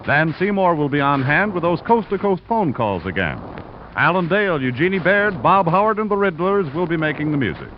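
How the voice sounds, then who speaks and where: A man's voice: on the high side, lively intonation, fairly quick. An American man announcing in vintage radio style, delivering polished promotional copy as the show's announcer. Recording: muffled vintage radio recording, faint noise.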